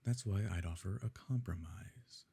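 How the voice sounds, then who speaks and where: A possessive, smooth-mannered evil nobleman, played by a man in an ASMR romance drama. His voice is very deep and dark, with a gravelly grain. He speaks formally and with measured control, pressing his beloved with quiet coercion. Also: heavily acted. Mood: coaxing, businesslike. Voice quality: low, husky, gravelly. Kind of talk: ASMR romance roleplay, scripted dramatic monologue.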